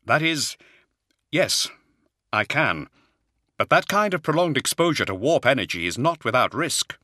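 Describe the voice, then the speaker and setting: A man acts a cautious psychic warrior in an audiobook. The voice is raised and bright, carrying grave, earnest care. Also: mid-range voice, big pitch swings, a little slow, dry recording, studio quality, pitched above the performer's own voice, exaggerated voice. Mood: wary. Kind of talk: audiobook character acting.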